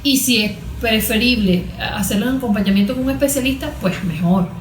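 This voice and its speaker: Venezuelan lady therapist chatting thru her advice, kinda high voice, roomy echo. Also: brisk, slight background noise. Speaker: guest expert, cognitive therapist. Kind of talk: conversational explanatory interview answers. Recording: echoey, roomy sound.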